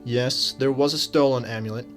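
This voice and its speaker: An actor playing a shrewd, polite detective in a radio drama, with folksy, measured questioning. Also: male voice, medium pitch, fairly even intonation, soft background music, natural voice. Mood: courteous, probing. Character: courteous, shrewd small-town detective, adult man.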